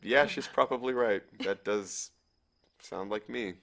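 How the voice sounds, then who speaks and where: A man's voice: fairly low pitch, measured pace, vocal fry, fairly bright tone, slight background noise. An actor playing a boyish, sheepish young man on the run in a naturalistic audio drama, casually protesting in a good-natured, defensive way. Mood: sheepish, amused.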